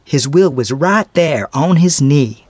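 A man's voice: fairly high pitch, animated, takes it slowly, a little mellow, dry recording, voice pushed up. A man voicing a talkative, gloating male village storekeeper who speaks with folksy rural grammar and is eager to spread news. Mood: gossipy, gloating. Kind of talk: storybook character voice.

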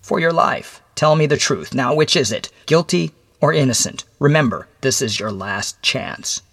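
A man voicing a mysterious, calm older gentleman who questions coolly and deliberately in a dramatic audiobook. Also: mid-range voice, no room sound, voice pushed up. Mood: stern, probing. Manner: deliberate, matter-of-fact, drawn-out questioning. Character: mysterious older bespectacled visitor, calm, coolly probing inquisitor.

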